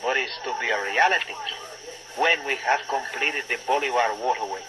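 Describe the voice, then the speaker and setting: A man plays a sincere Venezuelan dreamer in an old radio play. He speaks with halting, emphatic conviction at a middling pitch, over clear recording noise. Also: lightly acted. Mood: hopeful. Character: earnest, idealistic Venezuelan man with big dreams. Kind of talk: 1940s radio drama acting.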